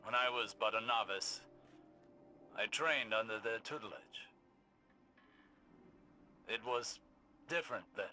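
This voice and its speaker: A man playing an older, proud and scholarly warlock mentor in a video game, speaking formally and deliberately. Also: on the low side, pushed and bright, soft background music. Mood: wry, reminiscing.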